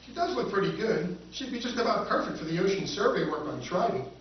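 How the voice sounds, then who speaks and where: A man's voice: high-pitched, sing-song, fast, a little hiss or hum. A man playing a skeptical, cautious spaceship buyer with a doubting manner in an old-time radio drama. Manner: doubting, questioning. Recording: echoey, dull, distant stage recording.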